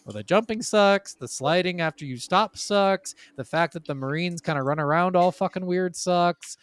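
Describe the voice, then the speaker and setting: A man's voice: sits high, moderate pace, clean tone, no room sound. A man co-hosting a casual gaming podcast, chatting bluntly and swearing freely. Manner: blunt, profane. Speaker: podcast co-host.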